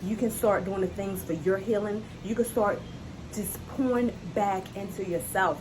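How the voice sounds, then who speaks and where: A woman's voice: lowish voice, background noise. A woman speaking as a self-love coach, giving an earnest, encouraging talk to camera and repeating her key phrases. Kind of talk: heartfelt motivational talk to camera. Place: outdoors on a hot day.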